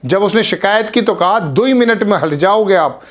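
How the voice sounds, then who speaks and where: A man's voice: sits high, lively intonation, moderate pace. A man hosting an online news video, talking earnestly and correcting himself as he goes. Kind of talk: news commentary to camera.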